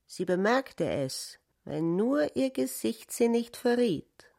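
A woman narrating a literary radio play with deliberate phrasing. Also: fairly high pitch, very animated, vocal fry, dark tone.

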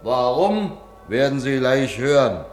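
Slow folksy storyteller, echoey. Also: male voice, medium pitch, big pitch swings, background noise. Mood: declaiming. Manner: folksy, ungrammatical, showman-like announcing. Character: folksy storyteller announcing each chapter of the tale. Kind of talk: deliberate narration in 1950s radio play. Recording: roomy echo on old radio recording.

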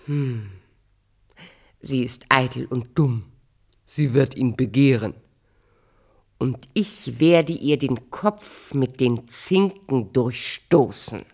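A woman's voice: very deep voice, big pitch swings, drawn-out words, pauses often, breathy edge, exaggerated voice. A woman playing a vain, vicious fairy-tale queen in a radio play, with a theatrical, commanding delivery that moves from outcry to hiss. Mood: gloating, plotting.

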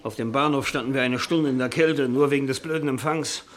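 An actor playing a grumpy, sardonic, hard-drinking older screenwriter who grumbles stubbornly, in a German radio play. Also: male voice, medium pitch, a little fast, close to an everyday voice. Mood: grumbling, irritated.

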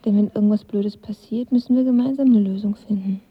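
An actress playing a guarded, teasing young woman in love, breathy and often half-whispering, in an intimate audio drama. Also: medium pitch, steady tone, brisk. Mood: earnest, vulnerable. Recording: dull studio drama sound.

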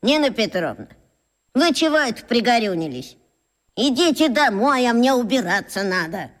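A woman's voice: fairly high pitch, big pitch swings, moderate pace. An actress playing a homely older school cleaning woman, brusque and folksy, in a children's radio play. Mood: grumbling, surprised.

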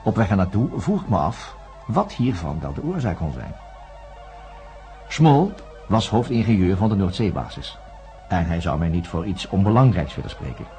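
An earnest, troubled investigating doctor in a radio play. He speaks formally and deliberately with lively, wide-moving intonation, over some music. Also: on the low side. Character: earnest, troubled male doctor investigating astronauts, indignant when challenged. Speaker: radio drama actor and narrator. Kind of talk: radio play acting with first-person narration.

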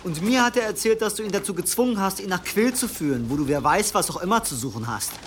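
A stern monk in a radio drama. His high, expressive voice is cold and self-righteous, with faint pigeon ambience around it. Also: quick pace, slight background noise. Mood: cold, threatening. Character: cold, pious male monk guarding his dovecote. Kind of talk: German radio play acting.

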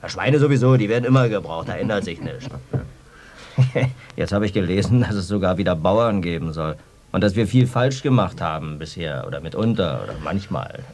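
Swinging, ranting bitter farmer. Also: male voice, deep voice, animated, fairly quick, darkish tone, a little hiss or hum. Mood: sardonic. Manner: colloquial, ranting, ironic. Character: bitter, sardonic East German farmer, drinking and railing. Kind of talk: German radio play acting.

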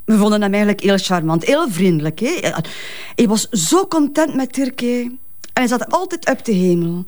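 A woman's voice: medium pitch, big pitch swings, normal speed, a little mellow, close to an everyday voice. An actress playing a grieving, protective mother in a Flemish crime drama, speaking hesitant, colloquial West Flemish with repeated words. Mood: chatty.

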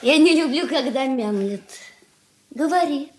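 An actress playing a cool, capricious young fiancée in a radio drama, curt and commanding with a languid stage delivery. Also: female voice, high-pitched, animated, unhurried, darkish tone. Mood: imperious, coquettish. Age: young adult. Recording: roomy studio echo.

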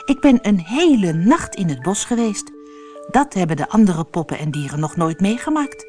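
Proud wistful little rag doll done by a lady, kids storybook, childlike, swingy pitch. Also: big pitch swings, normal speed, mellow, faint music behind, lightly acted. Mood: happy, proud. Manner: simple, childlike storybook speech.

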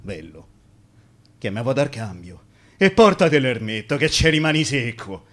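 An actor with a Roman accent playing the colloquial ghost of a railway digger killed at work, in Italian radio theatre. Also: male voice, medium pitch, very animated, normal speed. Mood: teasing, bitter. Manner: colloquial, plain-spoken. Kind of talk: Italian radio theatre acting in verse-like dialect dialogue.

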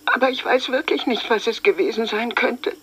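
A woman's voice: a little high, moderate pace, a bit bright, husky, poor audio. An actress in a radio drama playing a simple, middle-aged mother of an accused man, speaking plaintively and defensively. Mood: bewildered, defensive. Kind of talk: German radio drama acting, monologue.

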